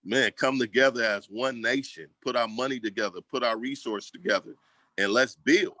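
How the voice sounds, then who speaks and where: Bright, forceful American man, emphatic and colloquial. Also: mid-range voice, moderate pace, no room sound. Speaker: school district equity staff member and community advocate. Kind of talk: casual conversational interview.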